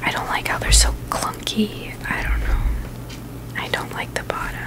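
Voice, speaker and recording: A woman whispering in a breathy, unvoiced voice, chatting casually and haltingly in a gentle ASMR style. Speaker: ASMR video host.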